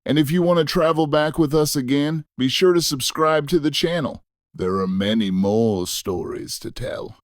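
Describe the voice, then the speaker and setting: A man reading a scripted, nostalgic first-person story as a narrator, in a measured, reflective way. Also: fairly high pitch, no echo, clean studio sound.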